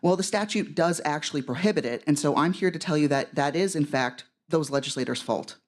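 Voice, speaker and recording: Fast-talking man reading a statement. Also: fairly high pitch. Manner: fast, with hesitant fillers. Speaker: member of the public testifying.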